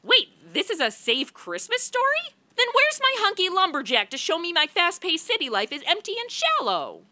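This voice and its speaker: Bright, brash, high-voiced wisecracker. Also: female voice, moderate pace, no echo, heavily acted. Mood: wry. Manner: deadpan wisecracking. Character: brash, shameless, self-absorbed sarcastic woman, Miss Standish. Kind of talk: comic radio play acting.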